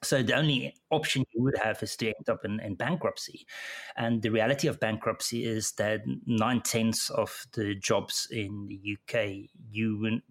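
A middle-aged man with a South African accent, telling his own story in an interview, halting and often repeating words as he restarts. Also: fairly low pitch, fairly even intonation. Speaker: interview guest. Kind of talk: personal account in conversation.

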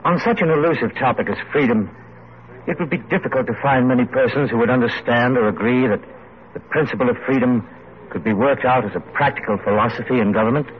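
Guy acting an intense idealistic english radical, mid-pitched, declamatory, dull hissy old radio play. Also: fast, close to an everyday voice. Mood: earnest, insistent. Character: earnest, impatient, idealistic English radical pamphleteer. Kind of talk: 1940s radio drama acting. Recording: muffled, noisy vintage radio recording.

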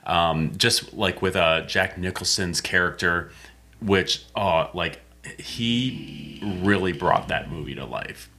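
A man's voice: deep voice, slow, pushed and bright, faint music behind. A man in his thirties on a casual movie podcast, hesitant and full of fillers. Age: 30s. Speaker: podcast co-host.

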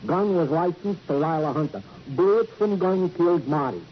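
An actor playing a fussy, pompous American police sergeant sidekick, speaking with comic formality in 1950s radio style. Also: male voice, on the high side, lively intonation, moderate pace, natural voice. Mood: officious, businesslike. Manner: pompous, mock-formal, officious. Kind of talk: 1950s radio drama acting. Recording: dull, noisy vintage radio recording.

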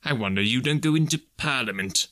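A man's voice: mid-range voice, expressive, a little fast, pushed and bright, no echo, studio quality. Man acting a scornful, growling old miser. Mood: sardonic. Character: stern old miserly uncle, bitter and scornful.

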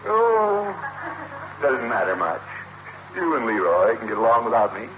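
A man's voice: mid-range voice, animated, unhurried, natural voice. Pompous blustering uncle, acted by a man, swooping delivery. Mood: fishing for sympathy. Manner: pompous, theatrical, exclamatory. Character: blustering, self-pitying middle-aged uncle, head of household. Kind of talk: 1950s radio comedy acting. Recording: noisy, muffled old radio recording.

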